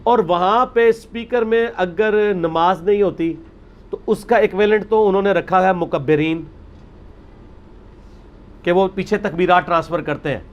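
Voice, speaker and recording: A man's voice: high-pitched, dull audio. A man giving a religious talk, arguing his point with rhetorical questions and emphasis. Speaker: religious speaker. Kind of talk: lecture on religious practice.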